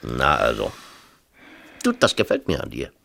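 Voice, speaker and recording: An actor playing an agitated, self-pitying middle-aged mayor in a radio drama, rambling and insistent in colloquial talk. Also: male voice, very deep voice, wildly swooping pitch, unhurried, vocal fry, pushed and bright, exaggerated voice. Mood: confiding, grumbling. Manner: rambling, insistent, peppers talk with tag questions. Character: agitated, self-pitying small-town mayor and exasperated father. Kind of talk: 1970s East German radio drama acting, colloquial dialogue.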